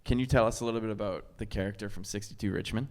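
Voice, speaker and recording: Host, low voice, easygoing, swears casually. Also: male voice, racing. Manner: relaxed, swears casually, laughs easily. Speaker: interviewer host. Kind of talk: casual interview chat.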